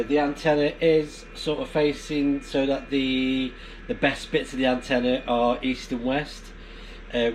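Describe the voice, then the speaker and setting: A man's voice: mid-range voice, rough recording. A man giving a casual, rambling vlog explanation, hesitant and thinking aloud as he goes. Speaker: amateur radio vlogger. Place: inside a barn. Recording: clear background noise in barn.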